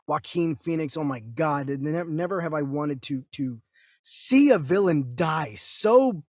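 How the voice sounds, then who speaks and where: Male podcast co-host, thirties, high-pitched voice, dry close sound, rambling and enthusiastic in casual movie talk. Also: lively intonation, measured pace, no room sound. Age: 30s. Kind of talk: casual film review chat.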